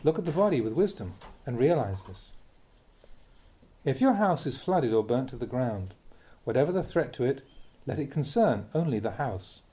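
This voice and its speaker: A man reading a Buddhist teaching aloud as a Dhamma talk, in measured, deliberate phrases with emphatic contrasts. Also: medium pitch, very animated, warm tone.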